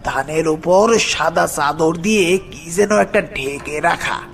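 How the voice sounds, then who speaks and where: A man playing an older village boatman, frightened and hesitant, slowly telling a dramatic horror story with a creaky voice. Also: on the high side, fairly bright tone, heavily acted. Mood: haunted, tense. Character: frightened village boatman uncle recounting his haunted past. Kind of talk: horror cartoon story narration. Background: music.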